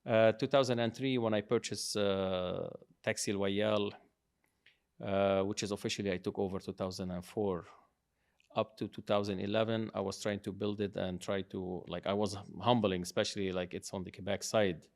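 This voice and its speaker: A man's voice: fairly low pitch, fairly even intonation, normal speed, vocal fry, darkish tone. A middle-aged man telling his life story in a casual conversation, proud and rambling, often restarting his sentences. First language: English. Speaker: guest, father of the host.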